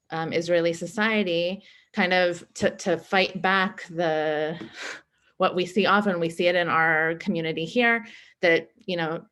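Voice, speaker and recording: A young woman from Oakland with a somewhat low voice, speaking over a video call. She sounds chatty and upbeat, and she fills her talk with likes and ums as she speaks on a panel. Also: a bit bright. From Oakland, California.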